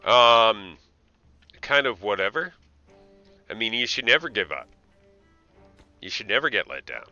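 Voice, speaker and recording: Male game streamer, very low, forceful bright voice, muttering and playful, slightly dull audio with music underneath. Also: sing-song, measured pace, pauses often, dry recording. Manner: mutters to himself, playful asides to game characters. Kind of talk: casual live gameplay commentary, thinking aloud.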